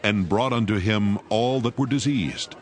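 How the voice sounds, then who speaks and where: A man narrating scripture in a measured, solemn, scripted style. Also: on the low side. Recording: music bed behind voice. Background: music.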